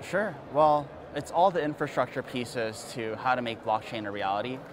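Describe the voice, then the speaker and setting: A man's voice: medium pitch, moderate pace, a crowd in the background. Hesitant male investor guest. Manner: hesitant, frequent fillers, boastful. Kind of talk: casual interview answers.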